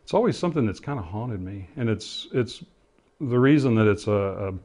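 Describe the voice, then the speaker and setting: Middle-aged male interviewee, fairly low voice, thoughtful and halting as he recounts and explains. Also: lively intonation, a little mellow. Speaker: interviewee, former air traffic controller and pilot. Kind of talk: interview testimony, recollection.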